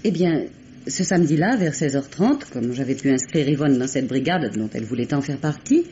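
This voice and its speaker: An actress playing an assured clinic head nurse giving precise, formal, emphatic testimony in a 1950s French radio crime drama. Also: female voice, a bass voice, lively intonation, normal speed, dark tone. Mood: precise, earnest. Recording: muffled, noisy old radio recording.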